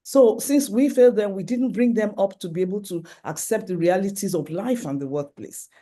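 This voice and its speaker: A middle-aged conference speaker with a Nigerian accent, voice mellow and dark in tone. Talks earnestly through lists of points, restarting sentences now and then, pitched in the middle range. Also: normal speed. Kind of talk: presentation with slides.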